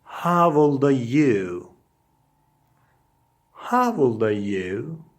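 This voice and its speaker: Very slow-paced man reading lesson phrases. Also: mid-range voice, sing-song. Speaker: English teacher. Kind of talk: English lesson, reading short phrases aloud with repetition.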